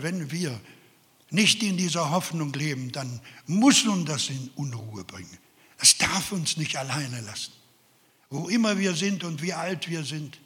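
A man's voice: fairly high pitch, a bit bright, in a large hall. An older man preaching a sermon, earnest and emphatic, speaking directly to his listeners. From Carpathian Ukraine.

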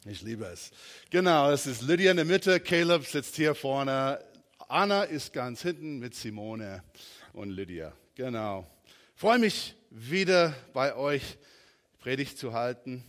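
American man preaching haltingly in a reverberant hall. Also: medium pitch, very animated, a bit bright. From southern and western United States. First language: English. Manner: halting, searching for words, informal. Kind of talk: sermon. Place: large reverberant room, preaching to a congregation.

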